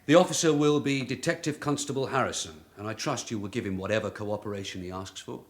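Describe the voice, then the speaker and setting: An actor playing a shrewd, hard-nosed long-serving police detective sergeant in a radio drama, matter-of-fact and dryly authoritative. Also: male voice, on the low side, quick pace, natural voice. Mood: firm, official. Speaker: actor in the lead role.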